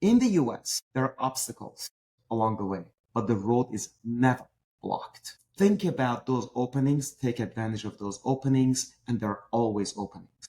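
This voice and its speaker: A man's voice: mid-range voice, lively intonation, moderate pace, a little mellow, poor audio. A middle-aged man with a Persian accent, speaking emphatically as an interview guest and asking himself rhetorical questions. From Iran. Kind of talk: podcast interview.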